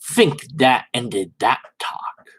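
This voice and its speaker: A man hosts a mocking dramatic reading of online posts. His delivery is slow and theatrical, with wide pitch swings. He is sarcastic and leaves hesitant gaps as he comments. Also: sing-song, a bit bright. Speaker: host reading social media posts aloud.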